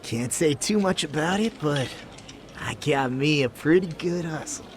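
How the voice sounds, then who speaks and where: A man's voice: a little high, lively intonation, measured pace. A man playing a brash young swindler in a video game, slangy, defensive and swaggering. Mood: smug. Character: brash young college punk, hot-headed male gambler and swindler. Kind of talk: dramatic game cutscene dialogue, English dub.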